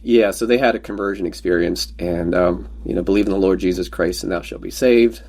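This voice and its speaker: A man from Pennsylvania, a native Pennsylvania Dutch speaker, talking as a podcast guest. His voice is somewhat low for a man. He tells loose, self-mocking anecdotes and slips in jokes along the way. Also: brisk, a little mellow. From Pennsylvania, USA. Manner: joking, rambling anecdotes with self-deprecating humor. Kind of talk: casual conversational storytelling.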